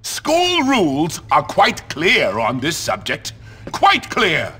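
Husky, wildly swooping pompous teacher. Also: male voice, fairly high pitch, huge pitch swings, slow, bright, forceful tone, heavily acted. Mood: accusing. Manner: pompous, accusing. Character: stern, sanctimonious middle-aged male schoolteacher. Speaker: schoolteacher. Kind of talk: video game acting.

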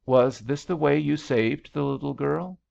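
Male reader as young female swimmer in a storybook: raised pitch, excitable, breathless delivery, dull sound. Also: measured pace, creaky. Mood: tender. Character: spirited young woman swimmer, excitable and tender. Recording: dull, muffled lo-fi recording.

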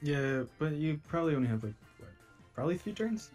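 A man with a slightly high voice talks casually as he plays a game with friends. Music plays faintly behind him. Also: big pitch swings, brisk, a little mellow. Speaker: player in a group video game match. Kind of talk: casual gameplay chat.

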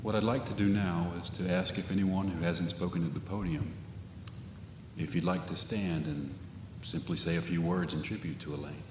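A man playing a gentle, solemn memorial officiant in an audio drama, speaking in a measured, reflective way. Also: low voice, steady tone, close to an everyday voice. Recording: echoey hall, loud rain noise.

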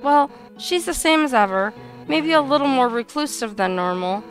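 A woman voicing a brash, warm-hearted female warrior fish creature in a blunt, informal way for a video game. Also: high voice, animated, normal speed, soft background music. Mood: heartfelt. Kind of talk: video game dialogue reading.

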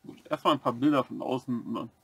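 A man co-hosting a building-set review, explaining the features step by step in a relaxed casual way. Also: medium pitch, quick pace. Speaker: co-host of a brick-building review channel.

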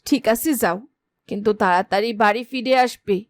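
A woman's voice: medium pitch, brisk, slight background noise, natural voice. A woman as a firm, protective cartoon mother. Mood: relenting. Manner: firm, questioning. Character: strict, worried, protective mother of a young girl. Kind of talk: cartoon voice acting.

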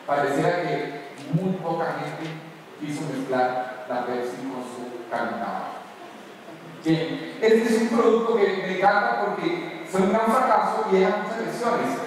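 A middle-aged Costa Rican man speaks to a big audience in an animated, lively way, acting out what customers say. His voice sits fairly high for a man. The sound comes from far off in a big reverberant hall with a faint hum behind. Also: measured pace. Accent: Costa Rican Spanish. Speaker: conference speaker. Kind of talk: keynote talk on stage. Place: large dark auditorium with audience. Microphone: distant room recording from the hall. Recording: echoey hall recorded from far off.

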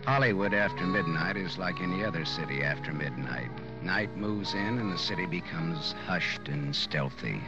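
Tough American detective, radio noir, very low voice, muffled old recording over music. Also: male voice, animated, moderate pace, few pauses. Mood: brooding, wry. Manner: hard-boiled, slangy, sardonic. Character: tough, wisecracking private detective, world-weary and sardonic. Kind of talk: old-time radio noir narration and acting.

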